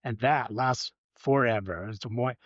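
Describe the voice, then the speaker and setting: A man from China, an entrepreneur, answering interview questions from his home office in halting speech with fillers and repeated words. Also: mid-range voice, dry recording, dull audio. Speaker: guest, entrepreneur.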